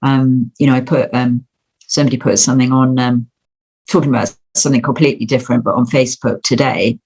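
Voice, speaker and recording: A woman's voice: a bass voice, steady tone, fast, a little mellow, breathy edge. A woman speaking as a podcast guest in a casual, reflective way, circling tentatively around her points.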